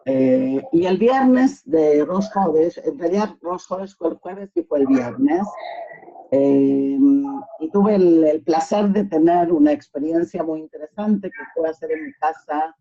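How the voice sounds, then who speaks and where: Husky lady running an online class, kinda mellow, keeps checking ppl follow, muffled call audio. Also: deep voice, lively intonation, unhurried. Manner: frequent checking questions. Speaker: teacher leading an online class. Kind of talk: informal group class talk. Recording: dull lo-fi video-call audio.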